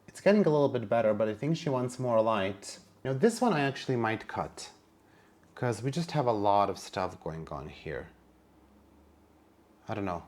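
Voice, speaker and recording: A man's voice: fairly low pitch, very animated, normal speed, darkish tone. A man whose first language is Serbian, narrating a casual plant vlog in a chatty, wry manner with sassy asides. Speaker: plant vlogger.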